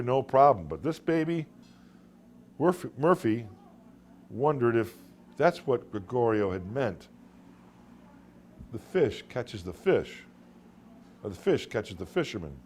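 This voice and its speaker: A man narrating literary short stories as their author, in a dramatic audiobook style with deliberate phrasing and emphatic pauses. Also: medium pitch, slight background noise.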